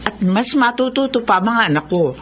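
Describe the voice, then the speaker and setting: A woman's voice: fairly high pitch, animated, moderate pace, a little hiss or hum, natural voice. A woman with a Filipino accent acting a proud, free-spending wife and mother in a radio drama. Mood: proud, unconcerned.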